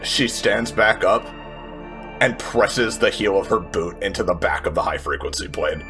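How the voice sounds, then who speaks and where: A man playing a tabletop game, improvising deliberate, descriptive narration of a character's actions in the third person. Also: low voice, over music.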